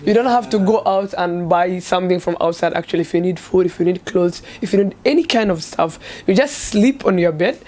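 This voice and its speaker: A man in his twenties from Somalia, a medical student giving casual, halting answers full of "like". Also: high-pitched, a little mellow. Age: 20s. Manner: hesitant, filler-heavy, frequent "like". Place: student dormitory room.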